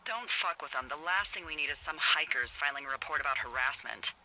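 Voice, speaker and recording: An actress playing a sardonic, teasing American boss, talking sarcastically through a radio filter. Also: female voice, deep voice, lively intonation, brisk, bright, forceful tone, a little hiss or hum, full character voice, low-quality recording. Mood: exasperated. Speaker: supervisor over radio. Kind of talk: naturalistic game voice acting.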